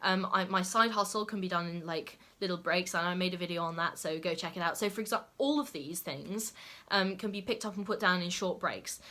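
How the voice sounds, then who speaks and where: A woman's voice: fairly low pitch, brisk, bright, forceful tone. A young adult woman talking casually to camera as a lifestyle YouTuber, chatty and full of "like" and "okay?" asides.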